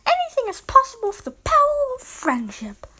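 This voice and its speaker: Cartoon fairy played by a woman: very high, sugary, singsong and cheerful. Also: huge pitch swings, moderate pace, sharp tone, full character voice. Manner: singsong, cutesy. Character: cutesy, singy, squeaky female fairy, sweetly cheerful. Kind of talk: cartoon voice audition.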